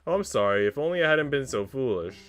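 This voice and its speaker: A man's voice: medium pitch, lively intonation, quick pace, natural voice. Man voicing a hesitant young maid. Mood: hesitant, apologetic. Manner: tentative, apologetic. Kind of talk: visual novel character read aloud. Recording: music playing behind the voice.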